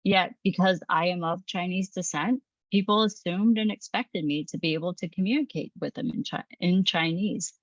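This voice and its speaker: A woman in her thirties reading a training presentation in a calm, measured, reflective way. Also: fairly low pitch, dry recording, highs cut off. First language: English. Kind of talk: scripted training narration.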